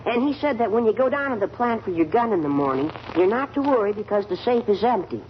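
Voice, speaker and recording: A young male actor playing a dry, shrewd son in a 1940s radio comedy, speaking deadpan. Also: high-pitched, fast. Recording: muffled 1940s radio with faint noise.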